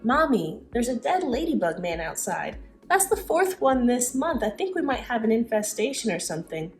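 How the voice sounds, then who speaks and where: Woman playing an excitable eight-year-old boy. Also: on the high side, normal speed, soft background music. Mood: confused.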